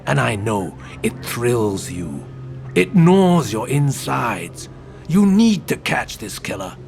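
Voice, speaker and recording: Gruff blunt police detective in a radio play, gravelly, intense, really animated delivery. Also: male voice, mid-range voice, takes it slowly, faint music behind, full character voice. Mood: intense, persuading. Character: gruff, driven, foul-mouthed senior male police detective. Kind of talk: audio drama dialogue.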